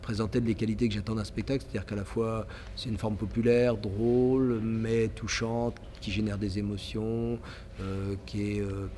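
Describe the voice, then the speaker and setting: A man's voice: fairly low pitch, level tone, moderate pace, warm tone, slight background noise. A man with a head cold, an author, actor and stage director, talking hesitantly in an interview. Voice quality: hesitant, many fillers and restarts.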